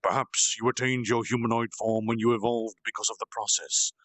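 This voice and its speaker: A man voicing a gentle, earnest slime monster leader who was once human, speaking in a solemn, halting confessional way for a storytime reading. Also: lowish voice, little pitch movement, dry recording. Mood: solemn, remorseful.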